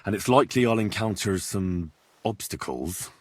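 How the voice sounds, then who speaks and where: An actor playing an earnest young man in a game, asking probing questions with a husky voice. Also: fairly low pitch, expressive, normal speed, no room sound. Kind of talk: game dialogue.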